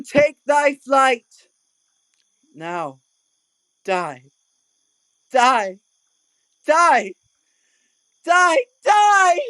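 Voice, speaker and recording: A woman's voice: fairly high pitch, fairly bright tone, no echo, full character voice. A woman playing a hammy amateur player as a doomed, grandly lamenting lover in a Shakespearean audio play, declaiming melodramatically. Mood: melodramatic dying.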